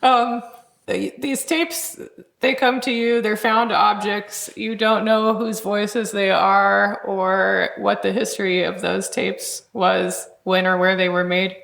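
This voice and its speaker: A woman hosting a podcast, asking measured, articulate questions in a conversational scholarly style. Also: medium pitch, flat delivery, vocal fry, fairly bright tone. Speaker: podcast host and interviewer. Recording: echoey, roomy sound.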